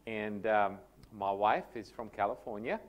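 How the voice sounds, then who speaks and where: A man's voice: on the low side, a little slow, highs cut off. A man in his thirties from South Africa, with a South African accent, speaking as a guest pastor in a friendly, joking, conversational way into a handheld microphone in a church hall. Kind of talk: sermon introduction.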